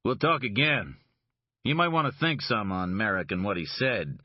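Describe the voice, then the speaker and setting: A man voicing a calm, hard-edged private detective who speaks firmly and evenly. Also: lowish voice, normal speed, no room sound. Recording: dull, dry lo-fi audio.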